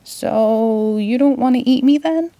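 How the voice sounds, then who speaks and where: A woman's voice: a little high, unhurried, dark tone. A woman with a Boston accent voicing a timid, earnest little male alien in a children's storybook. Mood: timid, worried.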